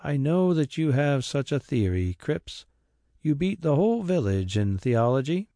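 A man's voice: fairly high pitch, big pitch swings, a little slow, dry recording, clean studio sound, voice pushed up. A man playing a tired old country squire. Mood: exasperated. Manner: gentle, weary, gently exasperated.

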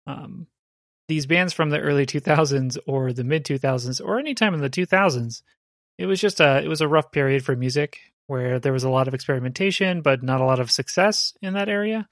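A man's voice: on the high side, brisk, dry recording, studio quality. A man co-hosting a podcast in casual chat, explaining at length and checking in with "right?". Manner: chatty, explaining with rhetorical "right?". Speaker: podcast co-host.

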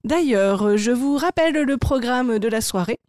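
A woman improvising a bubbly cruise ship entertainer who announces party games in a showy, drawn-out sing-song. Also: a little high, lively intonation, darkish tone, dry recording, clean studio sound, lightly acted. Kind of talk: improvised sketch comedy.

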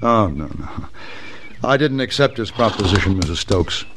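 A man's voice: fairly low pitch, expressive, moderate pace, background noise. An actor playing a cool, principled hired gunman in a 1960s western radio drama, speaking in a dry, laconic way. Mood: courteous.

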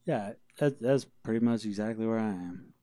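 A man's voice: fairly low pitch, normal speed, warm tone, no echo. A man chatting casually and a little hesitantly in an interview as a band's singer-songwriter.

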